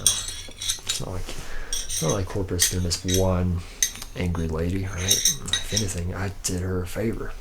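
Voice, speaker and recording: A man's voice: low-pitched, creaky. A man playing a jaded, boastful lead robot mechanic in a rambling, drawling ASMR roleplay monologue. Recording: noisy close recording with clinking metal.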